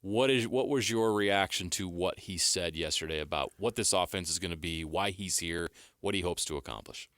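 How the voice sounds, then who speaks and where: A man's voice: sits low, a bit bright, no echo. A man hosting a sports radio show, asking pointed questions and rattling off stats. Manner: leading questions, rapid stat rundowns.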